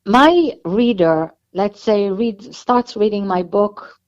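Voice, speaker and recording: Israeli-accented woman, author guest, mid-pitched, talks on and on, muffled line. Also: expressive, slow, a little mellow. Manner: chatty, explains at length with restarts.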